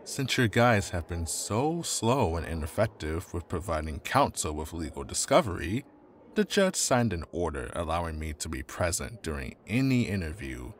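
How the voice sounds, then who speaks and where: A man acts a smug male defense attorney in an audio drama. His very deep voice swings freely as he needles with false friendliness. Faint noise sits behind the voice. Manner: smug, mock-friendly, taunting.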